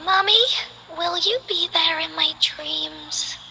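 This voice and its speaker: A woman voicing a lonely, dreamy little girl who is playful and curious, speaking in a soft, wistful, childlike way in game character voice lines. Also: very high voice, slow, vocal fry, long reverb tail, a little hiss or hum, exaggerated voice, poor audio.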